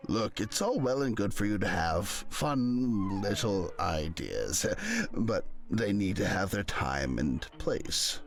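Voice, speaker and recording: A man's voice: low voice, lively intonation, slow pace, full character voice. A man playing a haughty, condescending film snob in a visual novel game, with a pompous, patronizing, theatrical manner.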